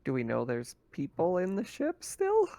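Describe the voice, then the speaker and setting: A man's voice: high-pitched, huge pitch swings, moderate pace, vocal fry, warm tone, no echo. A man playing a tabletop game, casually asking questions in character.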